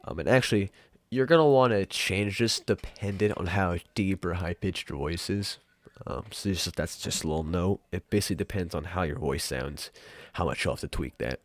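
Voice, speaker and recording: A young man hosting a casual software tutorial in an informal, rambling way. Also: low-pitched, normal speed, few pauses, no echo. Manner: informal, rambling, mild swearing. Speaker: tutorial host. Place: room at home.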